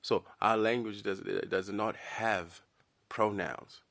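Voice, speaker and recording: A man's voice: fairly low pitch, fairly even intonation, takes it slowly, a bit bright. A man whose first language is Dinka, giving a blunt, slangy opinion rant straight to camera, stumbling and repeating words as he thinks. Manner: blunt, slangy, keeps calling the listener "bro". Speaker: vlogger.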